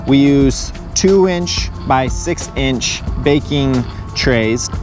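A young man gives casual step-by-step instructions in a mid-range voice. He is outdoors, speaking to a camera a few metres off. Music is clearly audible behind him. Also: mid-range voice, extremely slow. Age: young adult. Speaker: vlog co-host. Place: outdoors in a park.

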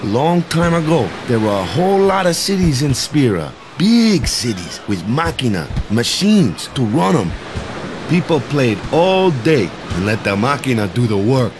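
A man's voice: medium pitch, big pitch swings, a little slow, noisy background. An actor playing an easygoing young male island ball coach in a video game, with colloquial, tag-question phrasing. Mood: serious, reflective. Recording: music behind the voice.

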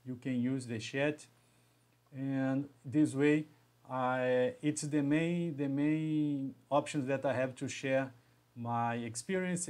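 A man in his thirties with a Brazilian Portuguese accent, hosting a live stream from a small home room into a webcam and talking haltingly, restarting and repeating words as he goes. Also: mid-range voice, a little mellow. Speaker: engineering educator hosting a live session. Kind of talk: live stream talk to viewers.

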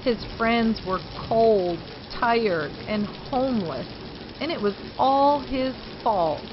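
A mature woman hosts story time for young children, with a high voice and big swings in pitch. She is expressive and questioning, and the sound is slightly dull. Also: slow, background noise. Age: middle-aged or older. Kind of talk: storybook reading and chatting to children.

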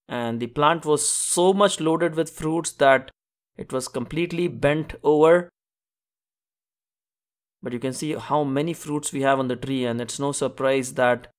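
A man hosting a gardening show, narrating an instructional tour in an enthusiastic, explanatory way. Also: medium pitch. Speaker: host of a home gardening show.

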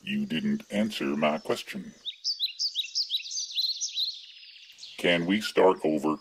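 A man's voice: extremely low pitch, a little slow, heavy background noise. A man playing a folksy, literal-minded, exasperated rustic in an absurdist radio comedy. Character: exasperated rustic country man with a plumbing problem.

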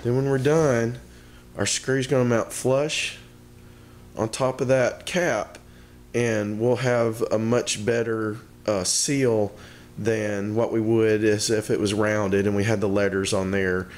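A middle-aged man, a little hoarse from the flu, explaining a DIY build in his home workshop, plain and halting with many ums. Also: fairly low pitch, steady tone, roomy sound, a little hiss or hum. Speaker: DIY maker. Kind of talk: casual how-to explanation.

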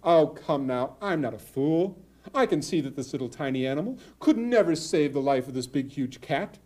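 A man voicing a wise old male goat in a told story, speaking in a dry, knowing, unhurried way. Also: on the high side, lively intonation, darkish tone. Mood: sly calm.

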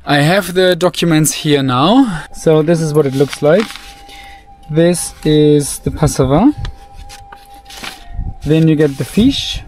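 A young man, a native German speaker, talking casually to a camera held at arm's length as he travels, calm and matter-of-fact. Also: a little high. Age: young adult. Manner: relaxed, matter-of-fact, chatty. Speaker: travel vlogger. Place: inside a vehicle and outdoors at a border. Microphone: handheld camera at arm's length.

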